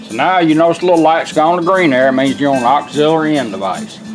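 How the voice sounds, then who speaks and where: A man's voice: a little high, quick pace, music in the background, poor audio. A plainspoken, folksy man giving a casual hands-on product demonstration at a workshop bench. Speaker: product demonstrator, radio restorer.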